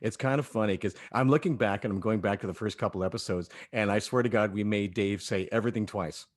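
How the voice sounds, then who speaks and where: A man with a fairly low voice talks casually as part of an audio drama cast. He rambles enthusiastically, pausing and restarting as he gathers his thoughts. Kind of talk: casual group chat.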